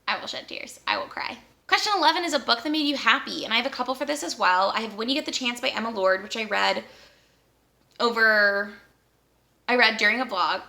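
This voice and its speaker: Chatty young woman with a gravelly, bright voice. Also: medium pitch. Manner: chatty, upbeat, laughs easily, rambling asides. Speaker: book vlogger. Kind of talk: casual chat about books.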